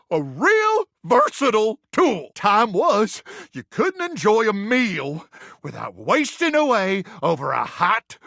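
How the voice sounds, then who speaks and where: An actor voicing a brash, hot-headed American video game character, a folksy microwave turned rambling soldier, full of bluster and slang. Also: male voice, high-pitched, vocal fry, sharp tone, husky, no room sound, studio quality, heavily acted. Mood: heated, boastful.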